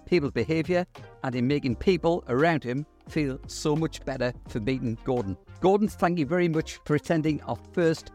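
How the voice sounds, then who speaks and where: A man hosting an interview podcast, speaking in formal, measured phrases. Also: fairly high pitch, a little mellow, soft background music. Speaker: podcast host, university lecturer. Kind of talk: scripted introduction and interview questions.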